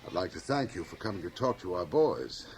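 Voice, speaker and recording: A man's voice: deep voice, very animated, a little fast, darkish tone. An actor playing a wealthy middle-aged board chairman in a radio drama, urbane and clubby, with an affable but condescending manner. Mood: affable, curious. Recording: 1980 radio drama, hissy cassette transfer.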